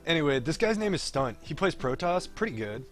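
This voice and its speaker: A man's voice: fairly high pitch, sing-song, a little fast. Man reading as a wry, cocky young pro gamer. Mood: breezy, teasing. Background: game music.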